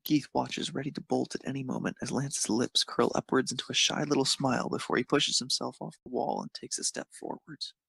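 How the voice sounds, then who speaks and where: A man's voice: medium pitch, steady tone, a little fast, vocal fry, hardly any pauses, a bit bright, breathy edge, no echo. A man narrating a scripted piece of fan fiction as a storyteller.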